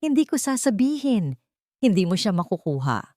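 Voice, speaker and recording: A woman's voice: mid-range voice, sing-song, normal speed, darkish tone, no echo, clean studio sound, pitched above the performer's own voice, natural voice. A woman voicing a battered male hero held captive, weak but stubborn, in an audiobook drama. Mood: defiant.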